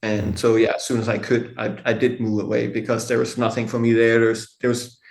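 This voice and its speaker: A middle-aged Danish man with a Danish accent, a tattoo artist chatting candidly as a podcast guest, laid-back, swearing casually and laughing often. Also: medium pitch. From Denmark. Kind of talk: casual interview conversation. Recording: echoey room.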